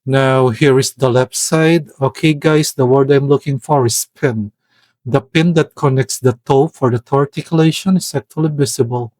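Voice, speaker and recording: A man talks through a figure review at an ordinary, middle pitch. He speaks with care and often pauses to find the right word. The recording is dry, with no room sound. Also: steady tone, darkish tone, dry recording. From Philippines. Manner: hesitant, searching for words, careful. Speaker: toy reviewer. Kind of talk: product review voice-over.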